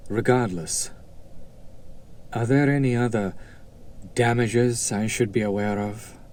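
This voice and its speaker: A man's voice: lowish voice, a little mellow, slight background noise. A man playing a haughty, sardonic demon buyer in an audio drama, drawling with condescending, theatrical skepticism. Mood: cool skepticism. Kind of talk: scripted roleplay audio drama acting.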